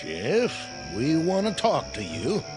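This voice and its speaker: Radio drama: rural Southern townsman, high voice, lively pitch, nervous and officious, faint music behind. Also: slow pace, heavily acted. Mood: uneasy, accusing. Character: nervous small-town committee spokesman.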